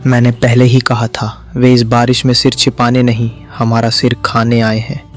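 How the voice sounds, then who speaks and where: A man's voice: medium pitch, monotone, normal speed, faint music behind, close to an everyday voice. A man voicing a stern, blunt householder in an emotional cartoon family drama. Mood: accusing. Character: stern suspicious householder, quick to accuse his relative. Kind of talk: dramatic cartoon story dialogue.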